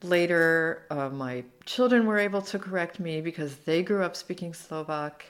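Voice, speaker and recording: A woman translator, speaking as an interview guest and telling animated, self-deprecating stories about learning languages, laughing easily. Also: fairly low pitch, moderate pace, clean tone. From United States. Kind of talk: conversational storytelling.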